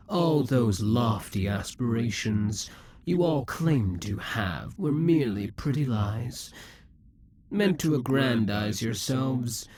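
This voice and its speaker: A possessive, scheming demon king, played by a man in an audio roleplay. His voice is husky and breathy, and he often drops to a half-whisper. He draws his words out slowly, and his pitch rises and falls freely. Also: a little mellow, heavily acted.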